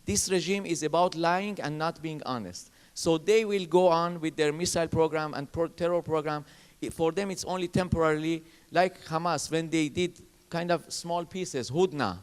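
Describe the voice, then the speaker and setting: A man speaking on a conference panel in English, emphatic and repeating himself, with halting phrasing. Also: a little high, smooth. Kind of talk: panel discussion. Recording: reverberant conference hall.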